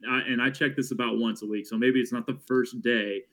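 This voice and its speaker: A man's voice: medium pitch, steady tone, a bit bright. Stat-heavy man breaking down basketball. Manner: stat-heavy, quick self-corrections. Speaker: team broadcaster and podcast guest. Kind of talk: casual sports analysis chat.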